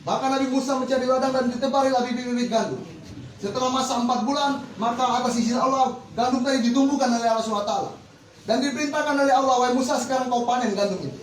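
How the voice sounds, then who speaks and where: A man's voice: very high voice, very fast, a little hiss or hum. A man preaching a fervent, rhythmic sermon to a congregation, repeating set phrases. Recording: echoey hall recorded from far off.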